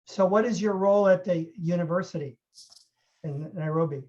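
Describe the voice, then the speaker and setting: Dull-sounding man asking halting questions. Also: high-pitched, a little mellow. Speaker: interviewer, university president. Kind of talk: conversational interview questions. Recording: dull, band-limited call audio.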